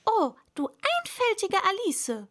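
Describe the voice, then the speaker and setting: A woman's voice: high voice, slow. A woman voicing an earnest young storybook girl who muses aloud and scolds herself. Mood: self-scolding.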